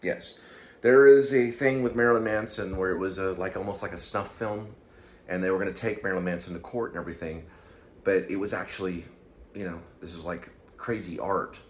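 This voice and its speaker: A man's voice: deep voice, fairly quick. A man giving casual solo commentary in a rambling, hesitant way, often circling back and repeating himself. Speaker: YouTube show host and amateur writer. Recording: steady background room noise.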